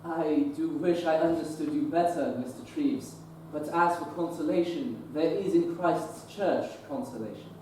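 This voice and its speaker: Young actor as a mild, devout Victorian clergyman with a mellow voice, heard distant in a reverberant theater. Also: on the high side, normal speed, few pauses, slight background noise, lightly acted. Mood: earnest. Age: young adult. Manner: earnest and gentle. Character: earnest Victorian bishop, pious. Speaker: stage actor. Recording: echoey theater from far off.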